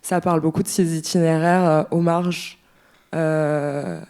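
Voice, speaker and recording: A woman in the audience at a library book talk, giving a thoughtful, hesitant comment with many pauses and fillers. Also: low-pitched, fairly even intonation, a little mellow, clean tone. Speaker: audience member. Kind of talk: spontaneous spoken comment. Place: library event room.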